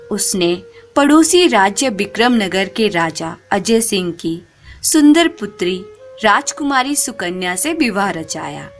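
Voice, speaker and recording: A woman narrating a scripted moral folk tale in a measured, expressive storytelling manner. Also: mid-range voice.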